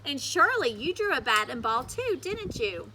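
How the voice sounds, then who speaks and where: A woman's voice: sits high, very animated, sharp tone, slight background noise, full character voice, poor audio. A woman voicing a kindly male first-grade teacher who speaks patiently and encouragingly to children in a storybook. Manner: measured, encouraging, addressing children. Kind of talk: acted children's storybook read-aloud.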